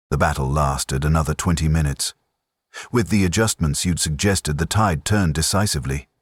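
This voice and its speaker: A man narrating a scripted dramatic story in a grave, deliberate manner. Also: low voice, breathy edge, dry recording, clean studio sound.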